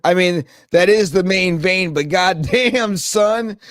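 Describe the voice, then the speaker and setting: Animated laughing man in his thirties. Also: high-pitched, takes it slowly, no room sound, clean studio sound. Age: 30s. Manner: animated storyteller, laughs often, swears. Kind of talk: casual chat.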